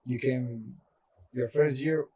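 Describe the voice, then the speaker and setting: A man's voice: medium pitch, steady tone, slow, warm tone, husky, poor audio. A man hosting a casual podcast interview, asking conversational, leading questions. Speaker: podcast host.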